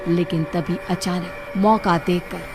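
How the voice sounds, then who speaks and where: A woman's voice: lowish voice, fairly even intonation, measured pace. Woman narrating a story over music.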